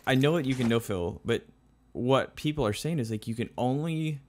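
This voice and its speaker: A man's voice: medium pitch, animated, moderate pace. A man giving casual, rambling gameplay commentary as host of a gaming video. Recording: faint game noise behind voice.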